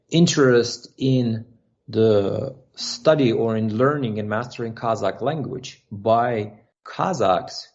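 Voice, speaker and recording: A man's voice: lowish voice, gravelly, a little mellow, muffled recording. A man speaking as a guest analyst on a policy panel, thoughtful and reflective, often checking in with "right?". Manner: thoughtful, ends points with "right?". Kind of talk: expert panel discussion.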